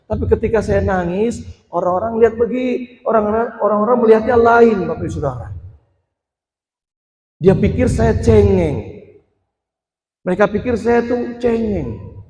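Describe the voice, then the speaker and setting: A man's voice: sits high, moderate pace, pauses often, crowd noise. Echoey testimony from a man preacher. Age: 40s. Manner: addresses congregation directly, rises to emphatic loud passages. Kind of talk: sermon testimony. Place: church. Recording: echoey hall with long reverb.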